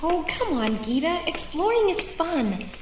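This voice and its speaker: A woman's voice: very high-pitched, big pitch swings, measured pace, noisy background, exaggerated voice. An actress playing a cheerful, curious young female space traveler in a radio drama, breezy and eager. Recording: echoey, slightly dull radio drama sound.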